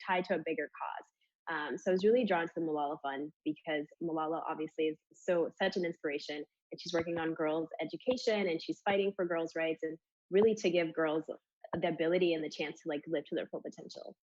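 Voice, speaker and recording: A woman's voice: deep voice, steady tone, fast talker, a little mellow, no echo. A woman from Rwanda giving enthusiastic, informal interview answers about her charity work, on lo-fi audio. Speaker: charity communications manager, interview guest.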